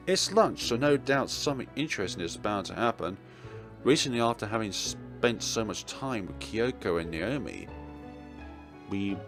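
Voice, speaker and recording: Low-voiced man narrating with lively swings. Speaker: let's play host. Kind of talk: dramatic read-aloud narration with casual asides.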